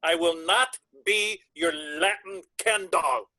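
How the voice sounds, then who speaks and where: A man reading the part of a proud, vain Latin band member in a table read, with a scornful, deliberate manner. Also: a little high, pushed and bright, dry recording, heavily acted.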